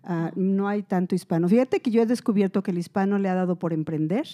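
A woman speaking on a panel about running stores, talking slowly and measuredly with many fillers. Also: mid-range voice, warm tone. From Latin America. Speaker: guest, store manager. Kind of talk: casual panel talk.

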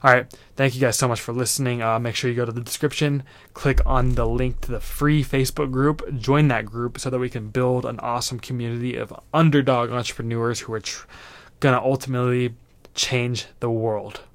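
A man's voice: medium pitch, fairly even intonation, a little fast, no room sound. A man in his twenties hosting a solo podcast, giving earnest motivational advice in a loose, rambling way with lots of fillers. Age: 20s. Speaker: podcast host.

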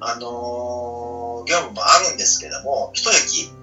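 A man's voice: mid-range voice, lively intonation, a little slow, bright, forceful tone, faint music behind. A man co-hosting a casual chat, earnestly explaining his points and seeking agreement. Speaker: talk show co-host. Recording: muffled, roomy, distant from mic.

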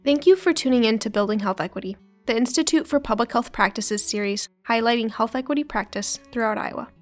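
A woman reading a scripted podcast intro in a smooth, even way. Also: a little high, brisk. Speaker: podcast announcer. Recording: faint music behind.

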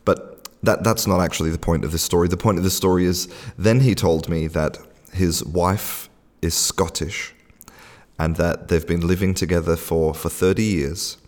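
A man's voice: sits low, fairly even intonation, normal speed, long reverb tail. A man hosting a podcast, telling a casual story with lots of restarts and fillers. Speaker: podcast host. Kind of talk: casual spoken podcast monologue.

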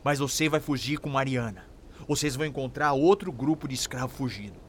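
A man's voice: mid-range voice, a little fast, a little hiss or hum, natural voice. An actor playing a resolute, protective enslaved young man in a radio drama, with a Brazilian accent and rustic, colloquial speech. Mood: urgent, reassuring.

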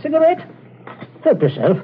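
A man's voice: very high voice, huge pitch swings, moderate pace, phone-quality sound, heavily acted. An actor playing a middle-aged British patriarch in an old-time radio drama, urbane and politely commanding, with cold menace beneath his courtesy. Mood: falsely genial. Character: menacing genteel English patriarch, coolly murderous, falsely hospitable. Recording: thin, band-limited old radio, light noise.

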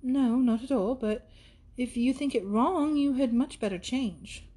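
A woman's voice: high voice, a little slow, dark tone, slightly rough, pitched above the performer's own voice. A woman voicing a modest, earnest young woman in a period storybook, polite, reserved and plain-spoken.

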